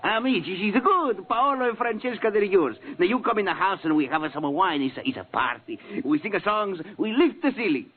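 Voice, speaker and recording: An actor playing a jovial, sentimental Italian immigrant who keeps goats, in broken English with an Italian accent, in old radio comedy style. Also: male voice, sits high, fairly quick, few pauses, phone-quality sound. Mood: delighted. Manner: excitable, broken English, generous host. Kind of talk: 1940s radio comedy acting. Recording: scratchy old radio, thin band, hiss.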